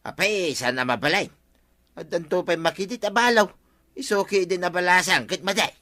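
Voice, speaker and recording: Brief: comic Ilocano husband, slightly high bright voice, scheming and wheedling, radio drama style. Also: male voice, expressive, normal speed. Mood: argumentative, playful.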